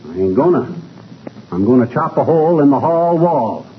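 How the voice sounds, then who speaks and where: A man playing a blustery, scheming, folksy husband in old-time radio comedy, with excitable, slangy talk. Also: on the high side, moderate pace. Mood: exasperated, determined. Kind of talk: 1940s-50s radio comedy acting. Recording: muffled, noisy old radio recording.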